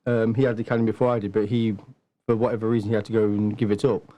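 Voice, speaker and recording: A man's voice: fairly low pitch, level tone, very fast, muffled recording. A man, answering casually as an interview guest in a matter-of-fact, explanatory way. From Nottingham, England. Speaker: podcast guest, martial arts instructor.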